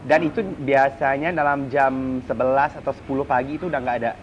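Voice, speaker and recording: A woman with a Malaysian accent chatting casually as a guest, animated and exclamatory, switching between two languages. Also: very deep voice, fairly quick. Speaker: guest, TV host and event MC. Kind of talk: casual chat mixing Indonesian and English. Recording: muffled, noisy ambient background.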